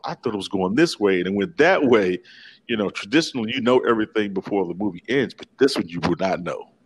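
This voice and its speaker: A man's voice: lowish voice, poor audio. A man from the Washington, D.C. area, a filmmaker talking casually in an interview, rambling with many restarts and fillers. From Washington, D.C. area, USA. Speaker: filmmaker guest. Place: remote call from Italy.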